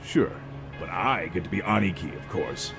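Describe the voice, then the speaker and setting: An actor playing a brash, hot-headed gang boss in a video game dub, with slangy, swaggering tough talk. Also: male voice, low-pitched, normal speed, vocal fry. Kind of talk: English-dub video game cutscene acting. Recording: music behind the voice.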